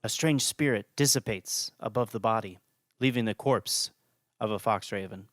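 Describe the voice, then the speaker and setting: A man with a fairly low voice narrates an audio drama podcast. The recording is dry and close, with little room sound. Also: moderate pace, fairly bright tone, no room sound.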